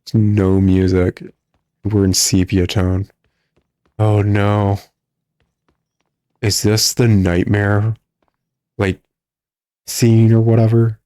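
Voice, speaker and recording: A man's voice: lowish voice, flat delivery, takes it slowly, long pauses, warm tone, no room sound, clean studio sound. A man giving sarcastic, exasperated gameplay commentary. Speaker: gamer commentating a playthrough. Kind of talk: mocking gameplay commentary, reading game text aloud.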